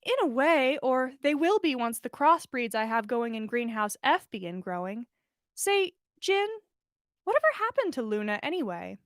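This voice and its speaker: A man's voice: very high voice, sing-song, moderate pace, a bit bright, dry recording, clean studio sound, heavily acted. A woman voicing an earnest, absent-minded male plant expert in a story, with formal, wordy, polite speech. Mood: sheepish, keen.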